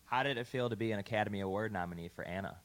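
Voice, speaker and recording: A man's voice: on the low side, very fast. A man in his twenties hosting a talk show, asking an actress eager, friendly questions over a video call. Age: 20s. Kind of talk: casual interview.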